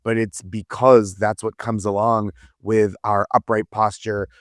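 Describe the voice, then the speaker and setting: A man's voice: fairly low pitch, little pitch movement, a little slow, dry recording, studio quality. An American man chatting casually and comically as a panelist on a news comedy podcast. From United States.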